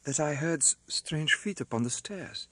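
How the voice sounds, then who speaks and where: A man's voice: mid-range voice, huge pitch swings, slow, a little mellow, slightly rough, dry recording, heavily acted. A man reading an audiobook, voicing a frightened young woman who speaks in a faltering, pleading voice.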